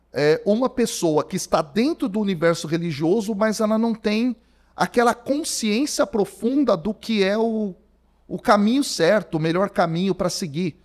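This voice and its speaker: A Brazilian man around forty, a theology professor talking as a podcast guest, telling his story casually with lots of fillers. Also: sits high. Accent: Brazilian Portuguese. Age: 40s. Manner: animated storyteller, many fillers like "cara". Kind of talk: conversational podcast interview. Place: podcast studio. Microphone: studio microphone.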